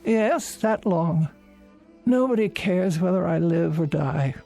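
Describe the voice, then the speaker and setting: Lonely old lady in a radio play, prim n querulous, bit of creak, faint music behind. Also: on the low side, lively intonation, takes it slowly, dark tone, exaggerated voice. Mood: lonely, bitter. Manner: querulous, prim, self-pitying. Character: lonely, fretful, demanding elderly invalid woman. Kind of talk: radio drama acting.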